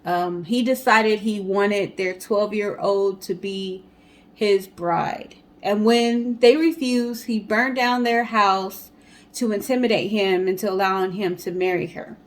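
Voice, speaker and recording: A woman with an average-pitched voice co-hosts a storytime show. She relates the story from notes in a casual, conversational style. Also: steady tone, takes it slowly. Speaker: co-host of a storytime guessing-game show.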